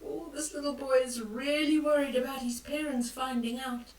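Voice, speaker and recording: An older woman reading a picture book aloud to children, expressive and dramatic, with hushed whispers and exclamations as she comments on the pictures. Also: high-pitched, normal speed. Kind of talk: bedtime read-aloud with asides on the pictures. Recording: roomy echo, distant from the mic.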